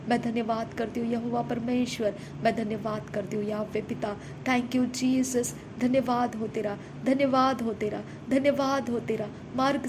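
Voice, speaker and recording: Woman praying out loud, high voice, same lines over and over like a chant, rumbly noisy recording. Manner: repetitive, fervent thanksgiving in steady chant-like phrases. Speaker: prayer minister. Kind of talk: spoken devotional prayer.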